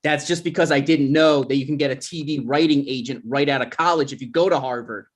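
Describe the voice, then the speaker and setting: A man's voice: mid-range voice, steady tone, fast, close to an everyday voice. A touchy, joking boyfriend of twenty-six, played by a man at a table read. He has a mid-range voice and a casual, self-deprecating way of talking. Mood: awkward, defensive. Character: Ryan, sensitive, jokey twenty-six-year-old boyfriend.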